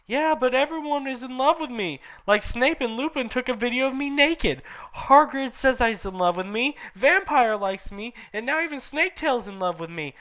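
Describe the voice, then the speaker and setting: A man voicing a melodramatic teenage goth girl who rants in a shrill, exaggerated way. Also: lively intonation, normal speed, few pauses, pushed and bright, full character voice. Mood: outraged.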